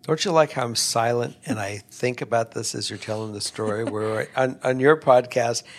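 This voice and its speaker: Man, gravelly voice, blunt casual advice, stumbling restarts. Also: medium pitch, normal speed. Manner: blunt, repeats words when starting a thought. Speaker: advice podcast co-host.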